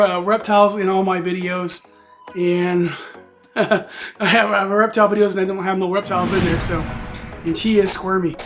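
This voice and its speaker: A man in his forties, hoarse and raspy from allergies, hosting a casual vlog and rambling with many fillers and restarts. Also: high voice. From United States. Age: 40s. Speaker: reptile channel host.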